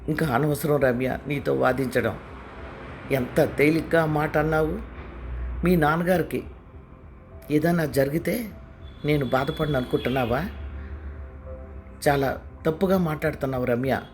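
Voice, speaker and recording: A man's voice: a little high, unhurried, long pauses, faint music behind. A man voicing a troubled young husband in an audio story, speaking earnestly and sounding hurt.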